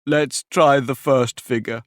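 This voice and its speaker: A man voicing a weepy, melancholy male sea creature who talks slowly and mournfully. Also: medium pitch, fairly even intonation, dry recording, studio quality, natural voice. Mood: sad. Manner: slow, drawn-out and mournful.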